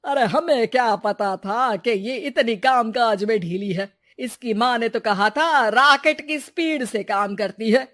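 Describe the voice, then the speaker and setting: A woman voicing a greedy, nagging middle-aged mother-in-law who taunts and makes loud demands. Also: on the high side, unhurried, few pauses. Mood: taunting.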